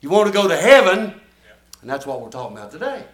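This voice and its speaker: Older preacher in an echoey church. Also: male voice, on the high side, sing-song, quick pace. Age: 70s. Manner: folksy, emphatic preaching with audience call-and-response. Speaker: pastor. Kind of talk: sermon.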